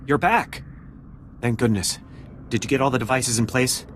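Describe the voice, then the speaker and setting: An actor playing an earnest, excitable surveillance technician in a video game. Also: male voice, mid-range voice, moderate pace, slight background noise, close to an everyday voice. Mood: relieved.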